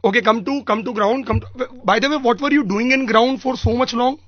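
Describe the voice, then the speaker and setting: A man's voice: very high voice, drawn-out words, slightly rough, no echo, exaggerated voice. A man with an Indian accent playing an irate, pushy vendor who badgers and repeats demands on a prank phone call. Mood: mocking, badgering. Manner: badgering, repetitive, accusatory. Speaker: prank caller. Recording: dull lo-fi radio recording.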